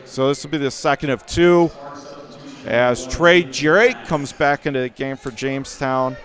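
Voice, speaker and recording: A man doing live radio play-by-play of a high school basketball game, speaking in clipped running phrases as the announcer. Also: measured pace. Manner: clipped running phrases, swelling into excited calls during action.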